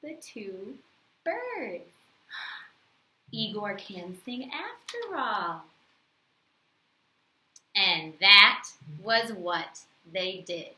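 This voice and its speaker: A woman reading a bedtime story aloud to young children, expressively, with teacherly questions and pauses. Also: medium pitch, sing-song, bright, forceful tone, echoey room.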